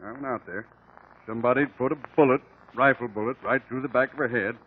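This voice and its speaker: An actor playing a plainspoken small-town American sheriff in a 1950s radio drama, with rustic, ungrammatical country talk. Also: male voice, medium pitch, quick pace, slightly rough, narrow, tinny audio, lightly acted. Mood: grave, matter-of-fact. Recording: old band-limited radio recording.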